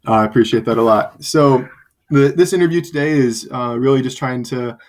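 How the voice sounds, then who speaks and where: A man interviewing as a student, polite and filling pauses with many ums and uhs. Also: mid-range voice. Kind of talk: scripted interview questions over a call.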